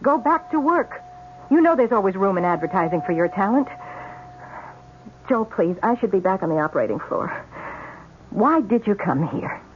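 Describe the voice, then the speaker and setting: A woman acting an earnest, dutiful hospital nurse in a 1970s radio drama, caring but exasperated, with an expressive, emphatic delivery. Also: mid-range voice, normal speed, pauses often, lightly acted. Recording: tinny, noisy 1970s radio broadcast.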